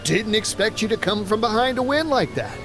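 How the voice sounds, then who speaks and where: A man's voice: high voice, lively intonation, a little fast, over loud music. An actor playing a young, sulky but friendly male rival in a video game, protesting and earnest. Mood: flustered.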